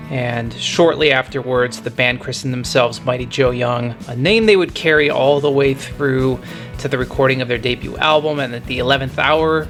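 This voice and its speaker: A man's voice: medium pitch, normal speed, a bit bright. A middle-aged man hosting a music podcast, talking in a conversational, enthusiastic and wordy storytelling way. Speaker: podcast host. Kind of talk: music podcast talk, retrospective commentary.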